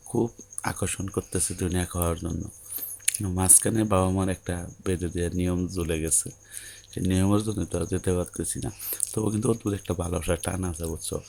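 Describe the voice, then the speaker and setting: A man's voice: deep voice, creaky, warm tone, slight background noise. A man acting an anxious young newlywed husband in an audio drama phone call, hesitant and pleading, with a colloquial Bangladeshi accent. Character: anxious newlywed groom, obedient son, soothing his bride.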